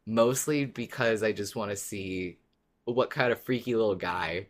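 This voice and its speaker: A podcast co-host chatting casually and enthusiastically about a favourite story, quick to giggle. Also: mid-range voice. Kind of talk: casual fan podcast discussion.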